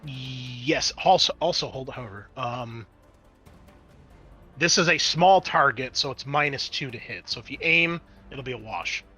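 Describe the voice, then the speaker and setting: Guy game mastering a tabletop rpg, casual, really bright forceful voice, music underneath. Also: medium pitch, unhurried, slightly rough.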